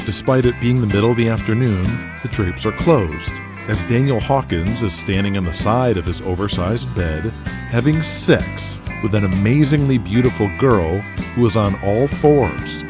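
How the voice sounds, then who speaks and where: A man's voice: on the low side, moderate pace, music in the background. A man reading scripted dramatic narration for an audio drama.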